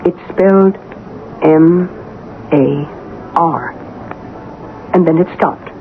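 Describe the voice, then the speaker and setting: An actress playing a brisk, sensible woman with deliberate dramatic phrasing, in the style of 1970s radio drama. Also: sits low, exaggerated voice. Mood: eerie recounting. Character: brisk, sensible woman recounting an eerie experience. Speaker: actress playing narrator and lead. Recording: tinny, hissy old radio broadcast.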